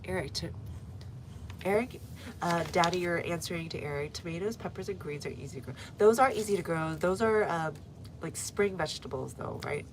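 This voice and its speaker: A woman with a low, slightly stuffy voice talks casually to her live viewers, answering comments with plenty of fillers. She is recorded outdoors in a garden, and the sound carries a noticeable noisy background. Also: a little fast. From United States. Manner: chatty, reads viewer comments aloud, frequent fillers. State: stuffy nose. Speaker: gardening livestreamer. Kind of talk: casual live-stream chat.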